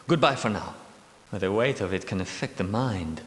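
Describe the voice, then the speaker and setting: A man voicing a bitter, secretive knight guardian who is centuries old, speaking in a measured, world-weary way in a game's voice lines. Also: fairly low pitch, animated, fairly bright tone. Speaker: game character. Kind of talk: game character voice lines. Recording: echoey reverberant room.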